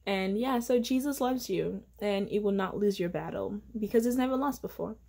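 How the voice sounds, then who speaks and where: A woman's voice: mid-range voice, moderate pace, creaky, a little mellow. A young woman, sharing a casual devotional encouragement to camera at home, earnest and chatty with many fillers. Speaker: faith vlogger. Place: indoor living room at home.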